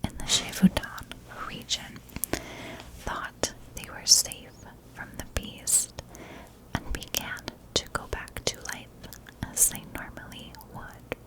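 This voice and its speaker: A woman tells a story in a hushed, breathy whisper in the ASMR style. Her delivery is very unhurried, with measured, deliberate phrasing. The voice stays soft and unvoiced throughout. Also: poor audio. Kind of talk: whispered ASMR narration of a historical mystery.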